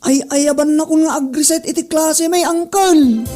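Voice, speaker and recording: A mellow, soft-edged young nephew with an Ilocano accent, played by an actor. Polite and deferential, the character is a new office errand worker in a comedy radio play. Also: high-pitched, fairly even intonation, normal speed, faint music behind, close to an everyday voice. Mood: exclaiming, questioning. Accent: Filipino, Ilocano. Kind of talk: Ilocano comedy drama podcast acting.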